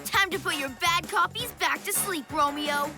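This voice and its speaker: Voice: extremely high pitch, moderate pace. An actor playing a confident, loyal young boy superhero and team leader in a children's cartoon, plucky and declarative. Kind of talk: animated children's cartoon acting. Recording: loud music behind. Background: loud cartoon score.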